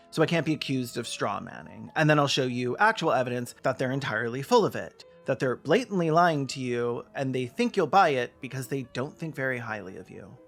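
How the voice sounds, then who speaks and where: A man's voice: mid-range voice, gravelly. A man narrating a scripted, argumentative video essay with emphatic, pointed delivery.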